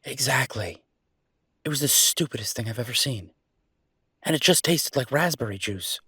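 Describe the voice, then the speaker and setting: A man playing an earnest, down-to-earth chef boyfriend in an audio roleplay, talking casually and a little hesitantly in a husky voice. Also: mid-range voice, animated, moderate pace, sharp tone, dry recording, clean studio sound, heavily acted. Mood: wry, opinionated. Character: enthusiastic down-to-earth chef boyfriend opening a mountain restaurant. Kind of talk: audio roleplay acting, casual one-sided conversation.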